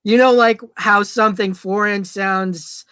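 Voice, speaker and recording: A man's voice: high-pitched, steady tone, a little slow, pushed and bright, clear, resonant tone, no room sound. A man with a Canadian accent, asking questions with some hesitation in a casual interview over a video call. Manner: friendly, hesitant questions with fillers.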